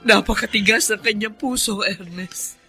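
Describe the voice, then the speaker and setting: An actress playing a gentle, sorrowful middle-aged mother in a Filipino radio drama, earnest and pleading. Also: mid-range voice, moderate pace, creaky. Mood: dejected. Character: sorrowful, gentle older mother seeking her daughter's forgiveness. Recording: background music under dialogue.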